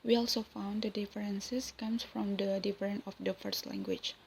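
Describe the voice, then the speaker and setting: A young woman from Indonesia with an Indonesian accent, reading a class presentation in English with a careful, even delivery. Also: mid-range voice, normal speed, gravelly, a little hiss or hum, low-quality recording. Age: young adult. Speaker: student presenter.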